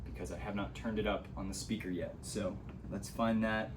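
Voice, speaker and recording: A man's voice: on the low side, fairly even intonation, normal speed, slight background noise. A man giving a casual, hands-on gear tutorial, explaining things step by step as an instructor.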